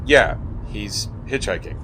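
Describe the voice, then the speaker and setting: A man's voice: fairly low pitch, slow pace, a bit bright, a little hiss or hum, rough recording. A man acting in a radio play as a cautious, exasperated friend who argues and complains dryly. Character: exasperated, cautious, sarcastic male friend in the passenger seat.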